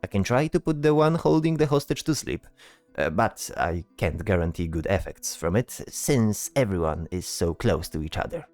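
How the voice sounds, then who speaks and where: A man voicing a polite, hesitant bard in a fantasy audio drama, speaking in a halting, showy, courteous way. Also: low voice, animated, darkish tone, faint music behind. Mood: sly, suggesting. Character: chatty, polite, hesitant male bard spellcaster.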